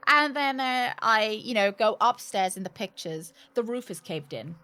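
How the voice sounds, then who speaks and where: A woman's voice: a little high, animated, moderate pace, sharp tone. A British woman livestreaming a game, chatting casually and jokily with sarcastic asides and some swearing. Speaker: game streamer. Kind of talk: casual livestream chat while playing a game.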